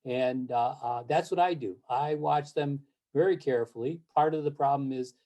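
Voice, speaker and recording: An older man born in Japan and raised in the United States, speaking, answers interview questions over a video call in a halting way, with many fillers and restarts. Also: mid-range voice, mellow. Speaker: interview guest, film series board president.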